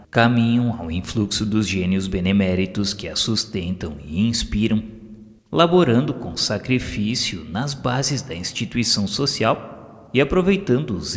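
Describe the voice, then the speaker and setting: Low Brazilian male narrator in long reverb. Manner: solemn, formal literary delivery. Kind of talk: scripted audio novel narration. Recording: long reverb over music. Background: music.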